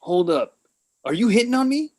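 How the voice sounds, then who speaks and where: A high-voiced hothead played by a man, excitable and easily offended. He blusters like a would-be star in a comedy table read. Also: normal speed. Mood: indignant.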